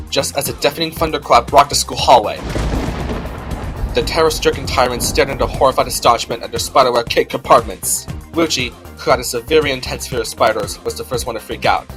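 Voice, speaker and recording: A man's voice: medium pitch, a little fast, a bit bright, soft background music. A man giving scripted narration of a storybook as its narrator.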